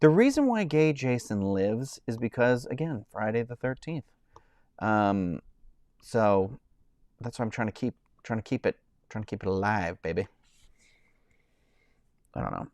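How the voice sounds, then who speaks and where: A man's voice: sing-song, a little slow, pauses often, a little mellow, no echo. Rambling man chatting on a live stream. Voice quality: says he has a high-pitched voice. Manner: rambling, many fillers and restarts. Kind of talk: casual live-stream chat.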